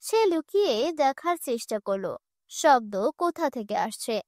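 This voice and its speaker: Woman, storyteller, very high pitch, scripted folk horror narration, dry recording. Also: sing-song, normal speed, a little mellow, no room sound.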